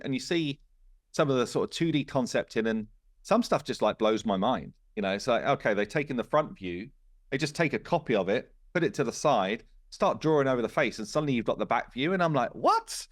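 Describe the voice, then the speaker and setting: A man speaking as a game studio co-founder in a casual podcast conversation, telling things in an animated way and voicing quoted remarks. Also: medium pitch, dry recording.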